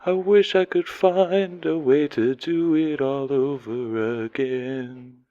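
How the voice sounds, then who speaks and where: A man voicing a gentle, remorseful mare singer in an audiobook, soft, slow and solemn. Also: medium pitch, fairly even intonation, dry recording, lo-fi sound, voice pushed up, lightly acted. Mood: solemn sorrow.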